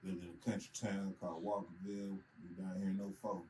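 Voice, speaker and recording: A man's voice: low-pitched, fast talker, slight background noise, low-quality recording. A man from Michigan, a rapper and songwriter, chatting casually in an interview and telling stories about how the group met. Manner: relaxed storyteller, tells anecdotes with acted-out quotes.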